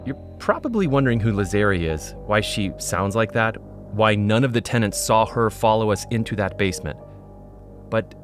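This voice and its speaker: A man plays an earnest paranormal investigator narrating a podcast drama. His voice sits fairly low, conversational and brooding, as if confiding his troubles. Light music runs underneath. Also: natural voice. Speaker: host and narrator. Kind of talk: scripted confessional podcast narration.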